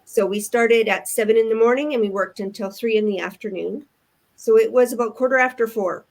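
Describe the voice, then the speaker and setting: An older woman from Canada, a retired nurse with grandchildren, telling her story on a live chat in an earnest, thoughtful way with pauses. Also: on the high side. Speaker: online business owner, co-host. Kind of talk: casual chat.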